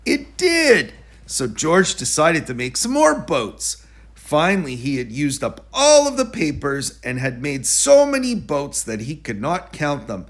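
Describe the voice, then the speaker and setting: A man reading a children's story aloud in an expressive, deliberate storytelling delivery. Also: a little high. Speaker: storybook reader.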